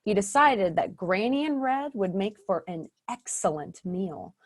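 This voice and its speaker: A woman's voice: medium pitch, animated, measured pace, no echo. Wry woman narrator, dry sound. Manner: wry, storytelling. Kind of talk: scripted narration in a radio play.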